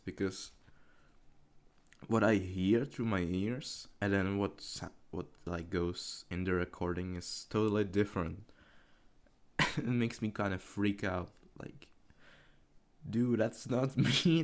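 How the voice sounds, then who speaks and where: A young man of eighteen talks unscripted over his gameplay footage. His voice sits low and gravelly, with plenty of vocal fry. He rambles, swears casually and laughs at himself. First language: Czech. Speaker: gaming commentary YouTuber.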